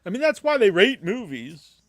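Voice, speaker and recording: A man chatting casually as a podcast host, in a halting, rambling way that often trails off. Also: sits high, very animated, a bit bright, no echo.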